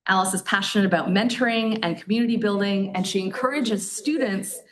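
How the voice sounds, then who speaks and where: A woman's voice: medium pitch, brisk, rough recording. A woman hosting a live campus event, introducing speakers from a podium with the occasional filler and self-correction. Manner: reads bios, with filler ums and small self-corrections. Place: lecture hall at an in-person event.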